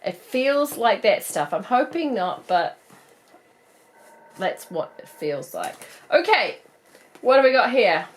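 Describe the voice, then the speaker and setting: A woman in her thirties with a New Zealand accent, croaky from a cold, chatting bubbly through a casual doll unboxing review. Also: fairly high pitch, big pitch swings, takes it slowly, long pauses. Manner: chatty, bubbly, laughs often. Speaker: doll collector vlogger.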